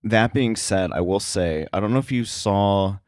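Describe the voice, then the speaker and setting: A man with a very low voice speaks casually as a guest in an interview. He explains things thoughtfully, with some hesitation. The sound is dry, with hardly any room in it. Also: normal speed, darkish tone, no room sound. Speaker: podcast guest, sound effects editor.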